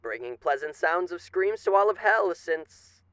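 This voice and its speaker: A man's voice: high-pitched, animated, unhurried, a bit bright, clean tone, no room sound. A man playing a suave, menacing radio demon in an ASMR roleplay, speaking with genteel, teasing, theatrical politeness. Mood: showman-like, sly.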